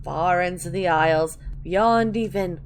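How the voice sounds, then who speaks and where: Woman voicing a crooning, eerie old granny, noisy recording. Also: on the low side. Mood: eerie, wistful. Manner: slow, wistful rambling with drawn-out endearments. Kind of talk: dramatic reading of video game lore.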